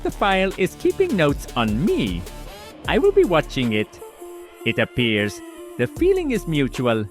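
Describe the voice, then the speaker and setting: A man playing a meticulous, secretive, paranoid file-keeper dictating formal log entries in a clipped, deadpan wording. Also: fairly high pitch, unhurried, soft background music. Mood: dry, uneasy.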